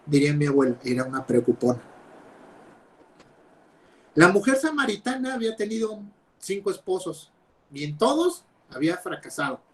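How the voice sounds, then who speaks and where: Young guy preaching a sermon over video call, high voice, gets loud then drops way down. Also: sing-song, moderate pace, long pauses. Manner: emphatic, swings between loud stresses and quiet drops. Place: home, speaking over videoconference.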